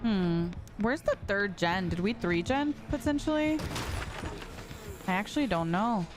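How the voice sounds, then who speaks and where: A woman in her late twenties, talking casually as a live streamer in the middle of a game. She chats freely, reads her viewers' messages aloud and answers them as she plays. Her pitch is average for a woman, and clear background music plays under her voice. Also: expressive, unhurried. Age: 20s. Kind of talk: casual live-stream chat while gaming.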